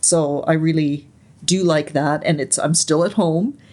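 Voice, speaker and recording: A woman in her sixties, raised in Canada, recalling her life story slowly and reflectively as an interview guest, often pausing to search for words. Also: low voice, steady tone. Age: 60s. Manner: soft, unhurried, searching for words.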